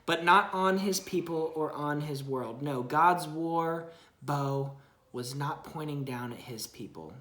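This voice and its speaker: A woman's voice: low voice, slow pace, fairly bright tone, roomy sound. A woman reading a children's Bible story aloud expressively, chatting with a young child and asking simple questions.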